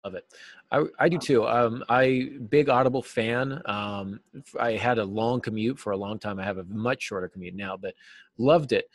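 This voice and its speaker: A middle-aged man with a fairly low voice talks as a guest in a casual interview. He explains his craft through examples, a little self-deprecating. The sound is dry and close, with little room in it. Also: moderate pace, no echo. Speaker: interview guest, novelist and writing teacher. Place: small cluttered office, indoors. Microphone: video call, seated at a desk.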